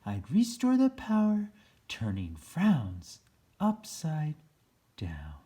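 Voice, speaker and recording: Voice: medium pitch, wildly swooping pitch, takes it slowly, warm tone. A storyteller reading a children's picture book aloud in a theatrical, playful way.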